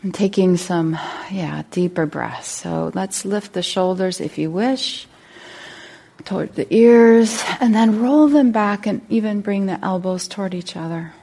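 A woman leads a guided meditation as a teacher, speaking gently and unhurriedly with long pauses. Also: mid-range voice, vocal fry, slightly rough.

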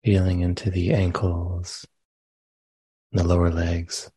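A man leading a guided meditation as a teacher, speaking gently and unhurriedly with long pauses between phrases. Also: low-pitched, monotone, slightly rough. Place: meditation center.

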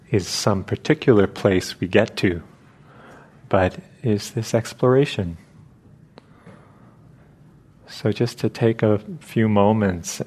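A man leading a guided meditation as a teacher, speaking in short, halting phrases with long pauses between them. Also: on the low side, darkish tone.

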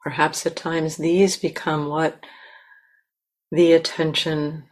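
Very slow-paced woman guiding meditation. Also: sits low, level tone. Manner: gentle, unhurried, with long pauses. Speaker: meditation teacher. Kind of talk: guided meditation.

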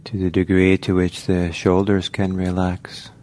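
A man leading a guided meditation as a teacher, speaking gently in short phrases with long pauses. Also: low-pitched, completely flat delivery. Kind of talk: guided meditation, slow spoken instructions. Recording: faint background noise.

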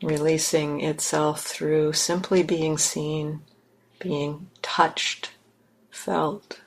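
A woman leading a guided meditation as a teacher, speaking in short, measured phrases with pauses between them. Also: sits low, little pitch movement, creaky, husky, low-quality recording.

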